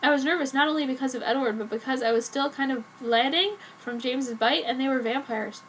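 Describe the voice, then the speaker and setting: A woman's voice: high voice, brisk, poor audio. A woman giving a dramatic reading of a fan fiction story. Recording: noticeable background noise.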